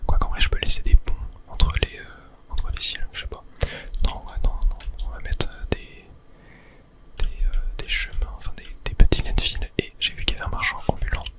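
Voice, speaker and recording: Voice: normal speed, fairly bright tone. A person whispering ASMR commentary over a video game on a close mic, thinking aloud hesitantly and correcting themselves as they go. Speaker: gaming video host.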